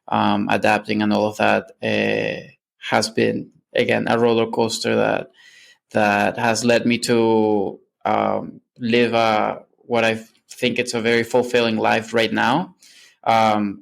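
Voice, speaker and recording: Creaky low-voiced young man. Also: fairly bright tone. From Venezuela. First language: Spanish. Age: late 20s. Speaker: podcast guest. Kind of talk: casual interview answers.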